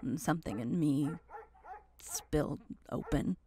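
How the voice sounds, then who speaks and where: A woman narrating a first-person horror story dramatically, in halting, intense fragments, with a gravelly, creaky voice. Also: deep voice, warm tone.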